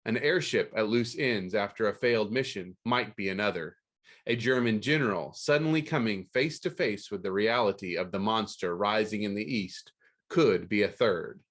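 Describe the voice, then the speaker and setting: A man's voice: on the low side, moderate pace, a bit bright. A man hosting a podcast about books. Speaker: podcast host. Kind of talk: scripted book news read aloud, then casual interview.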